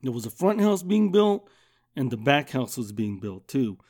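A middle-aged man hosting a podcast, telling a funny childhood story in a loud, profane, animated way and laughing often. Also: medium pitch, normal speed. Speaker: podcast host. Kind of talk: casual comedic storytelling.

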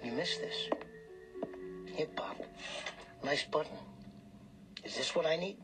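An actor in a radio drama playing a pushy, greedy small-time hustler who talks in cocky, needling slang. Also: male voice, a little high, takes it slowly. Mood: smug. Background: music.

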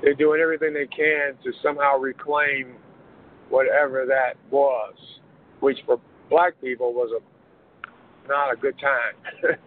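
A man, a pastor being interviewed, speaking in a measured, earnest way with many pauses. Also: mid-range voice, little pitch movement. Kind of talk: interview conversation. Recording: muffled phone line, faint hiss.

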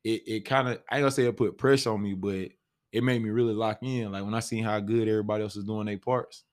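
A man's voice: on the low side, steady tone, fast. A man with an American accent telling a story casually, in slangy everyday talk full of check-in phrases. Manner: slangy, often says "you know what I'm saying". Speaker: stage actor discussing his part.

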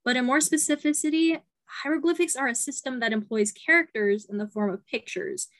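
Young female guest, slightly high voice, friendly and explanatory in casual talk. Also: a little fast, a bit bright. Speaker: podcast guest. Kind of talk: casual conversation.

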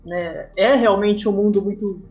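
A woman's voice: medium pitch, steady tone, unhurried, darkish tone, dull audio. Brazilian woman chatting over background music. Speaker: guest, comic artist. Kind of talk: casual interview conversation. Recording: music playing behind the voice.

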